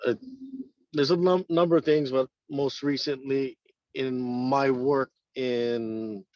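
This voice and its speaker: Slow-talking man on dull call audio. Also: medium pitch, steady tone, darkish tone, dry recording. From East Honolulu, Hawaii. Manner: halting, many ums and restarts. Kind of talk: casual self-introduction on a call.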